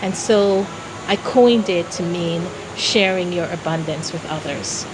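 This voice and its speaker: A woman from Jamaica telling personal stories as an interview guest, in a deliberate, expressive way. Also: lowish voice, background noise. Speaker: interview guest, founder of a philanthropy organization.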